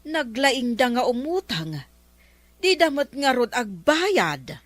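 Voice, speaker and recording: A woman's voice: sits high, sing-song, slow, no echo, exaggerated voice. A woman playing an exasperated village councilor fed up with a debtor in a comedy drama.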